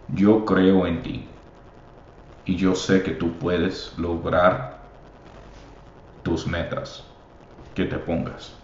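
Podcast host, man, deep and unhurried, mixes in English, encouraging, echoey dull recording. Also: a little mellow. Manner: switches between Spanish and English, many fillers; encouraging, calls listeners papi. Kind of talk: casual podcast monologue. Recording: echoey room, slightly dull sound.

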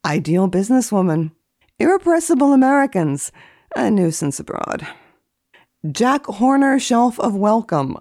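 A woman speaking as a guest columnist in a deadpan, theatrical manner, as if giving a dramatic reading. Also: mid-range voice, measured pace, dry recording, studio quality. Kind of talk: dramatic reading of a book index and deadpan commentary.

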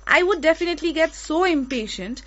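A woman's voice: high-pitched, lively intonation, fairly quick, fairly bright tone. A woman with an Indian accent presenting a radio show in a conversational style. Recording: dull lo-fi audio.

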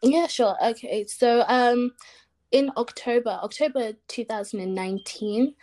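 A woman's voice: a little high, a little slow, a little mellow. A young woman from Zimbabwe, a guest on a casual podcast, who talks chattily and fills her sentences with "like.". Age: young adult. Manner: chatty, says "like" constantly. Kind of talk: casual interview.

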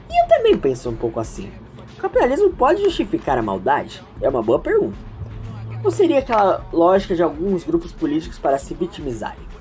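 A woman's voice: lowish voice, mellow. A woman narrating an audio story informally, with chatty, reflective asides addressed to the listeners. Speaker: narrator and host.

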